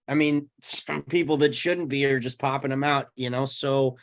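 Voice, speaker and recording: A man's voice: medium pitch, steady tone, moderate pace. A man in his thirties chatting casually, thinking aloud in loose, slangy phrasing. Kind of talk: casual group chat.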